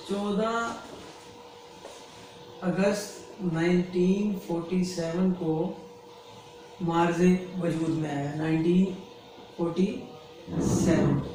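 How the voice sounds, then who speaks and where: A man's voice: sits high, a little mellow. A man with a Pakistani accent giving an instructional lecture in a didactic manner, repeating key phrases for clarity. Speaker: lecturer and advocate explaining law. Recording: very echoey room, distant mic, faint noise.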